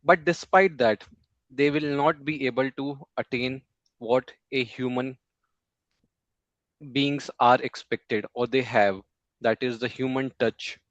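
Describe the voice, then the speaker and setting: A man, a Hindi speaker, giving an impromptu speech at a speaking club in a slow, measured way with pauses between phrases. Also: medium pitch, fairly even intonation, a bit bright, no room sound. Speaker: table topics speaker. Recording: dull close mic, dry.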